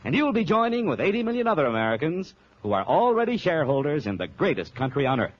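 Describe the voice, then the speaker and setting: Husky, animated old-time radio announcer man. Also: fairly high pitch, fast. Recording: dull old radio recording.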